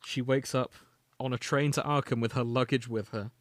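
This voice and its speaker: A man's voice: mid-range voice, steady tone, moderate pace, noisy background. A man hosting a podcast, reading and summarizing fan fiction as a storyteller and slipping into casual asides. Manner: storytelling, alternating read-out prose with casual asides. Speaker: podcast host.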